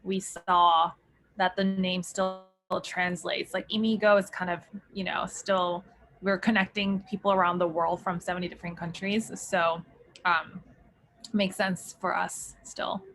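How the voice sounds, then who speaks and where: A woman's voice: fairly low pitch, flat delivery, unhurried, fairly bright tone, a little hiss or hum. A young woman from South Korea, a startup founder answering interview questions in a chatty way with many fillers and restarts.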